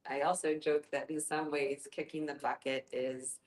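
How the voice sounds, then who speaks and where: A woman with a low-pitched voice talks informally to a group. Her manner is joking and self-aware, and the room gives her voice a clear echo. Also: little pitch movement, normal speed. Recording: echoey room.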